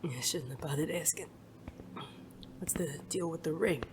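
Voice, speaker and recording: Noisy ambience sits behind a woman playing a wounded detective in an audio drama. The voice is very low, with terse, wry, blunt delivery and a wary but caring temper. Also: normal speed, lightly acted.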